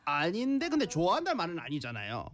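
A woman hosting a casual live-stream language lesson, explaining points and reacting quickly to her co-host. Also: on the low side, huge pitch swings.

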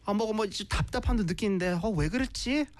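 A man co-hosting a live stream, speaking Korean and switching into slow, clear English to explain things to viewers. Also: sits high, no room sound. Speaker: co-host teaching English.

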